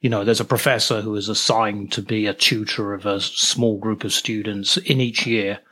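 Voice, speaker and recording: A middle-aged man with an English accent, answering casually as an interview guest, often halting to find his words. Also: fairly low pitch, little pitch movement, sharp tone, slightly rough. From England.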